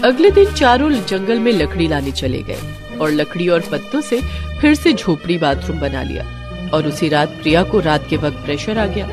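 A woman tells a children's cartoon story with an expressive, dramatic delivery. Her pitch swings in a lively way. A steady music bed plays under her voice. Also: moderate pace. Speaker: storyteller voicing every character. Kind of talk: scripted children's story narration.

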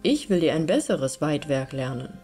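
A woman's voice: sits low, very animated, moderate pace, dark tone, slightly rough, soft background music, full character voice. Woman reading as a coaxing, lordly Devil. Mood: coaxing, insistent. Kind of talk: fairy-tale audiobook character reading.

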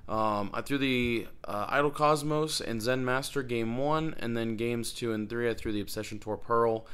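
A man's voice: mid-range voice, a bit bright, smooth. A man in his twenties from Iowa, talking casually to his phone camera in a hotel room, with an upbeat, chatty manner and lots of fillers. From Iowa, United States. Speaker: vlogger. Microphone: phone held at arm's length.

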